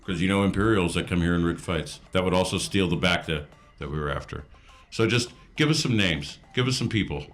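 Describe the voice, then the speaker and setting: A man's voice: deep voice, fairly quick, fairly bright tone, faint music behind. A man playing a tabletop role-playing game, speaking casually and correcting himself as he goes. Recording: echoey room.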